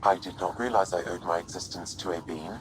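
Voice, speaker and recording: An actor voicing a calm, literal-minded artificial intelligence in a video game, speaking formally with deadpan precision. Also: male voice, low-pitched, a little fast, slight background noise, natural voice. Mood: dry.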